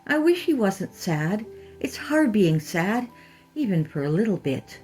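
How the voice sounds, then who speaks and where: Innocent little girl played by a woman, earnest and childlike, raised pitch, horror storybook reading. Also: big pitch swings, unhurried, darkish tone, faint music behind. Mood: sad. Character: small, tender-hearted young girl, innocent and worried.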